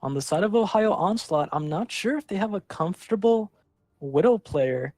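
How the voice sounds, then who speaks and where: A man casting a live online match, calling the action and filling pauses with rambling, self-deprecating asides. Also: high voice, expressive, darkish tone, no echo. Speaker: esports caster. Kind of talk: live game commentary.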